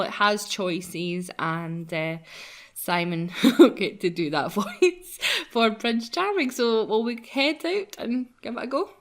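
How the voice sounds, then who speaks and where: A woman presents a visual novel playthrough. Her voice is medium in pitch, and she talks casually and gigglily, moving between chat and reading the game's text. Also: fairly bright tone. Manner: giggly, chatty. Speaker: let's play host.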